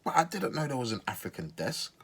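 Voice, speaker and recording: A man's voice: mid-range voice, very animated, quick pace, a bit bright, husky. A man from Zimbabwe giving casual reaction commentary as a podcast host, incredulous and questioning, repeating his points for emphasis.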